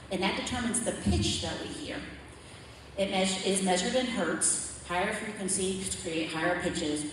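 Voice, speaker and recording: A woman giving a plain, explanatory slide presentation at a community forum, often checking in with "okay?". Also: a little hiss or hum. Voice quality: low voice. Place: large echoey meeting hall. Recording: echoey hall, voice from far off.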